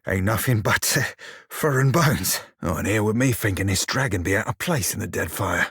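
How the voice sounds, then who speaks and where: Game role: roguish furry sailor, husky growl, bawdy and sardonic, mid pitch, close dry sound. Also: male voice, animated, moderate pace, a bit bright, no room sound, exaggerated voice. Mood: exasperated. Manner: salty, colloquial pirate talk, wry and crude. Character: roguish, bawdy, sardonic blue-furred pirate sailor creature. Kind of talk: video game character dialogue and barks.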